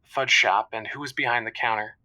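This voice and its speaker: A man playing an earnest, sentimental finance professional with a halting delivery, calling a comedy advice show. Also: medium pitch, fairly even intonation, pushed and bright, low-quality recording. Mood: nostalgic. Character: earnest big-city finance man home in small town. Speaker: caller. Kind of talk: improvised comedy phone-in storytelling.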